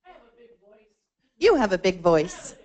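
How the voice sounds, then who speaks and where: A woman's voice: on the low side, very animated, normal speed, a little mellow. A woman presenting to a membership meeting, explaining a support portal conversationally, with fillers and restarts. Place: meeting room.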